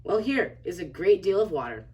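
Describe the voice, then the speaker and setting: A woman's voice: lowish voice, sing-song, moderate pace, a little mellow. A woman playing a kindly, wondering very old man in a storybook reading. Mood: helpful. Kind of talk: reader's theater character acting. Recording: distant from the mic.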